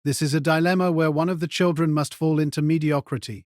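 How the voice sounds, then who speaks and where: A man playing a composed aristocratic mage in an audio drama, measured, indifferent and condescending. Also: a little high, steady tone, a little mellow, dry recording, clean studio sound, lightly acted. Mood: indifferent, superior.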